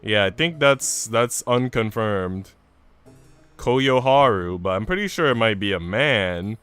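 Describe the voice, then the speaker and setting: Clear-voiced man streaming casually over music. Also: fairly low pitch, lively intonation, measured pace, a bit bright. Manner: slangy, profane, joking. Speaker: live streamer. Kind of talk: casual chat while streaming a visual novel.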